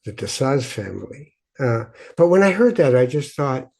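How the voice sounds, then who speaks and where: A man's voice: medium pitch, very animated, normal speed, gravelly, a little mellow. A middle-aged man born in Morocco, hosting a podcast and telling reflective stories with frequent restarts. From Morocco (Tangier). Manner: reflective storyteller, many restarts. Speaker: podcast host. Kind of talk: casual conversation.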